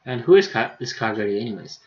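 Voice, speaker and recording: A man livestreaming a visual novel, reading the dialogue aloud haltingly with stumbles and self-corrections, and adding casual commentary. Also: fairly low pitch, very animated, muffled recording.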